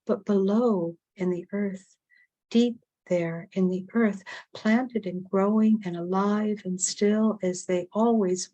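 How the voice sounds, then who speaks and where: A woman reading her own spoken-word poetry at an online open mic, with solemn, deliberate phrasing and repeated phrases. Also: mid-range voice, a little mellow. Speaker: poet reading own work.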